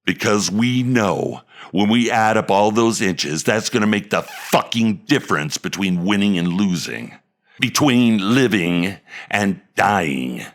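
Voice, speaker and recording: A man playing a gravelly, worn-down football coach. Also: on the low side, lively intonation, slow, voice pushed up. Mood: fierce. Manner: building locker-room pep talk with heavy emphasis. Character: aging male football coach, worn down and fierce. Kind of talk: dramatic monologue read.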